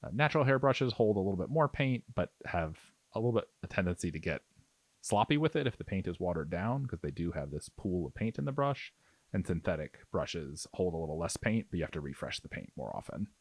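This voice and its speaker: A man's voice: low-pitched, sing-song, a little fast, no room sound. A man giving a casual hobby tutorial as an instructor, explaining things in an easygoing, reassuring way. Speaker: miniature painting instructor.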